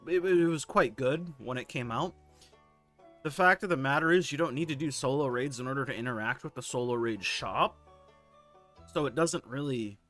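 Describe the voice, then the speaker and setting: A hoarse man with a scratchy, sore-throated voice talks through gaming forum posts in a casual, candid way. His pitch sits mid-range for a man, and faint music plays underneath. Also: a bit bright. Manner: laid-back, candid, occasional swearing. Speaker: gaming video host.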